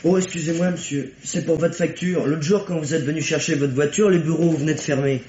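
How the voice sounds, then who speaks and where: A man's voice: a little high, fairly even intonation, fairly quick, a little mellow, natural voice. An actor playing a respectful, apologetic young garage errand boy in a radio mystery. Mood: deferential. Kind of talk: old French radio mystery play acting. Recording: muffled, echoey, noisy old radio recording.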